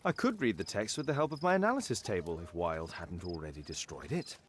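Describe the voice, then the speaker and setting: An actor playing a composed, analytical British detective in a video game, with a precise, deductive manner. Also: male voice, low voice, expressive, a little fast, noisy background. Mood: focused, analytical. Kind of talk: dramatic video game dialogue.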